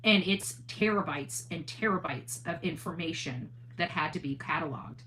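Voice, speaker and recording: A woman's voice: low voice, moderate pace, pushed and bright, slight background noise, low-quality recording. A woman hosting an online livestream, talking casually and earnestly in a monologue, often repeating and rephrasing her points for emphasis. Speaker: online channel host. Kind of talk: livestream talk, casual monologue with replies to chat.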